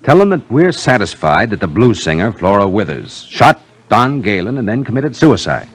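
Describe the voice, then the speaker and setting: A shrewd inspector from an old radio mystery, with a low, husky voice. He muses deliberately and gives orders with authority, his intonation swinging widely. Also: big pitch swings. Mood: firm, commanding. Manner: deliberate, musing, commanding. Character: shrewd brooding male police inspector, solving a murder. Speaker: detective inspector. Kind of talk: 1950s radio crime drama acting.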